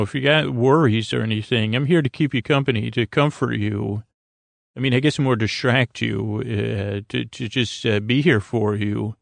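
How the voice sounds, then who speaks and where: A man with a creaky voice hosting a sleep podcast, talking in a soothing, hesitant, meandering bedtime monologue full of stammered tangents. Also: mid-range voice, no room sound. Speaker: podcast host.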